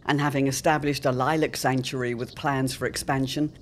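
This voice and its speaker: An announcer narrating a serial audio drama in a formal, measured, old-time radio style with theatrical flair. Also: medium pitch, level tone, a little hiss or hum.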